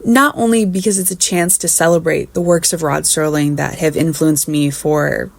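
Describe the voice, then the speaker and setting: A woman's voice: low-pitched, fairly even intonation, normal speed, gravelly, no room sound. A woman in her twenties giving a prepared personal testimonial as a fan, speaking in an articulate, earnest and appreciative way. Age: 20s. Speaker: fan sending in a recorded clip.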